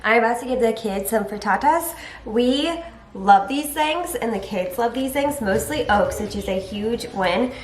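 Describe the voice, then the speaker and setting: A woman's voice: on the high side, expressive, normal speed, echoey room, a little hiss or hum. A young woman, a mother, chatting casually to camera at home, sounding congested from allergies and rambling with lots of "like". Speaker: vlogger, mother. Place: home, indoors.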